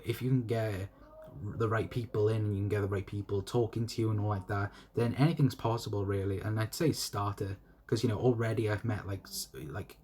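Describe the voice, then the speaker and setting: A man's voice: on the low side, a little mellow, a little hiss or hum. A young man from South Wales with a South Welsh accent, hosting a solo fan livestream and reading chat comments in a rambling, repetitive, friendly way. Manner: rambling, repeats himself, friendly to chatters. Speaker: fan channel host.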